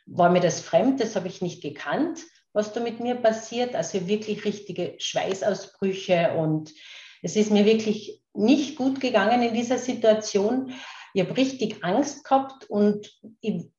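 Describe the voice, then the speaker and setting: Slow, halting Carinthian woman on a call. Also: medium pitch, warm tone. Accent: Carinthian Austrian German. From Carinthia, Austria. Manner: slow, halting, searching for words. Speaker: guest, kinesiologist. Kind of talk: casual interview talk. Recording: roomy, dull remote call audio.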